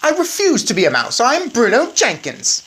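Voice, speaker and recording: A woman's voice: on the high side, huge pitch swings, moderate pace, fairly bright tone, pitched above the performer's own voice. A woman voicing a greedy, indignant young boy turned mouse in a read-aloud storybook, blustering and protesting. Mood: outraged.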